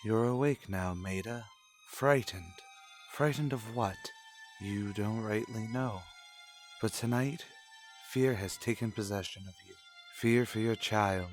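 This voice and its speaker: A man narrating an old-time radio serial, measured and dramatic, addressing the character directly. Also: lowish voice, soft background music. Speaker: narrator and announcer.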